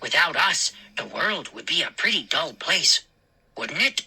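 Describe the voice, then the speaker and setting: A woman voicing a mischievous male gnome with a raspy voice who speaks softly. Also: very animated, unhurried, heavily acted, poor audio. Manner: soft, low.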